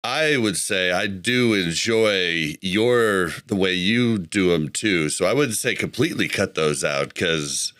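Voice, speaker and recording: A man with a low voice that swings a lot in pitch, hosting a relaxed gaming podcast chat. He is easygoing and laughs along with his guest. The sound is dry and close, with no room echo. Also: slow pace, hardly any pauses. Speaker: podcast host.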